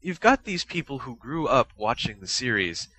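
A man's voice: medium pitch, big pitch swings, moderate pace, sharp tone, poor audio. A man co-hosting a podcast, explaining things in a casual, rambling, hesitant and self-deprecating way. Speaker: podcast co-host. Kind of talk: casual explanatory fan discussion.

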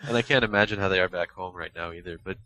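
A man's voice: low-pitched, rapid-fire, a crowd in the background. A man co-hosting a casual fan discussion, explaining details with frequent qualifications. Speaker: podcast co-host. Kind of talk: casual discussion of anime fandom.

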